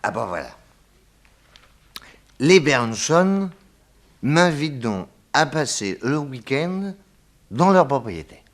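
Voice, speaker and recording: A man's voice: mid-range voice, sing-song. An actor playing a genial, tipsy detective uncle who rambles and stammers through his tale in a 1960s French radio drama. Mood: amused, storytelling. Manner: hesitant, halting, stammering.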